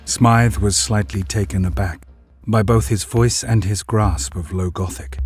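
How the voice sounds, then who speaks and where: A man narrating a scripted drama in a grave, deliberate storytelling manner. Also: fairly low pitch, fairly even intonation, a little mellow, faint music behind.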